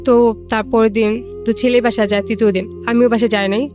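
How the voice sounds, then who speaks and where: A young Bangladeshi woman tells a personal story in a slightly high voice. She talks quickly and colloquially, relaying remembered dialogue line by line. Faint music sits behind her. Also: fairly even intonation. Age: young adult. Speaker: guest telling own life story.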